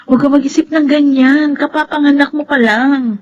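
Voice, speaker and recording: An actress playing a playful, caring young younger sister in Filipino radio drama, with a chirpy manner. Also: female voice, high-pitched, fairly even intonation, normal speed, dull audio, close to an everyday voice. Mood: concerned, soothing.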